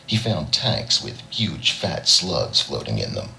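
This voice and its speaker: Male audiobook narrator, extremely low pitch, very forceful bright edge, expressive story reading. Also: slow, vocal fry, rough recording. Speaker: audiobook reader.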